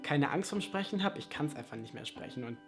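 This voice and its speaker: A man's voice: a little high, animated, brisk, music in the background. A young man talking casually in an advice vlog, chatty and full of rhetorical questions and self-mocking asides. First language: German. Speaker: language vlogger.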